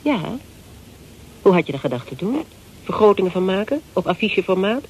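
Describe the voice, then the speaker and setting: A woman's voice: medium pitch, big pitch swings, fairly quick, darkish tone, slightly rough. An actress in a radio play as a tough, cool-headed female detective with a clipped, dry, brusque manner. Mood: brisk, cool. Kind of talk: Dutch radio play acting. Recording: noisy radio play with background ambience.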